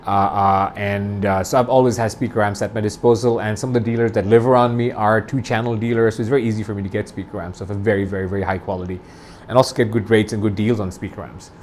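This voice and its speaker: A man's voice: on the low side, steady tone, fast talker, darkish tone, a little hiss or hum. A man in his forties from Bangladesh, talking through a review unscripted, enthusiastic and rambling, often restarting his phrases. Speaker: audio gear reviewer.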